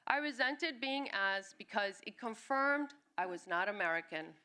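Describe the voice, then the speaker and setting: Bright-voiced woman reading her own essay. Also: mid-range voice, normal speed. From born in Cuba, raised in New York City. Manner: expressive reading, briefly acting out quoted family members. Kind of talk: reading a personal essay at a live storytelling event. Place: live reading at a music venue.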